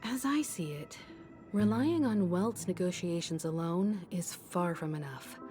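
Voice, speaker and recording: An actress voicing a mature, shrewd strategist in a game, calm, articulate and authoritative. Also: female voice, lowish voice, measured pace, over music. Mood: calm, resolute. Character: composed, shrewd, mature woman strategist and leader. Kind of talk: scripted game voice acting.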